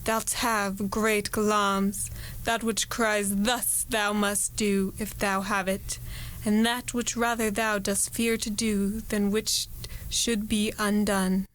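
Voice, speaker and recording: Woman as ambitious, merciless noblewoman, slow deliberate Shakespearean verse, radio drama, mid-pitched. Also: flat delivery, a bit bright, rough recording. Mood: scheming resolve. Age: young adult. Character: ambitious, ruthless noblewoman invoking dark spirits.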